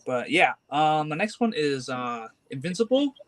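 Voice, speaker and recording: A man's voice: fairly high pitch, big pitch swings, normal speed, a bit bright, a little hiss or hum. A man in his twenties, a comic collector, talking casually and hesitantly with many fillers and restarts. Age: 20s. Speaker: guest collector. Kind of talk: casual chat.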